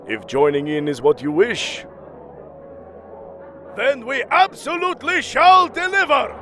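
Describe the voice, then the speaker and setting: A man's voice: very high-pitched, animated, a little slow, pushed and bright, soft background music, heavily acted. A man playing a grand, protective father god, theatrical and emphatic, in an audio drama. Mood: concerned, relenting.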